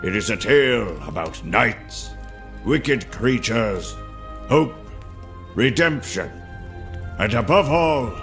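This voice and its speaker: A kindly older male storyteller, spins a fairy tale for a game. His delivery is unhurried and theatrical, with lively swings of pitch. Long reverb hangs around the words. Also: faint music behind. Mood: inviting, warm. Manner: theatrical, measured, animated. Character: kindly old storyteller telling a child a tale. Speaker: storyteller narrator. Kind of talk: dramatic fairy-tale narration.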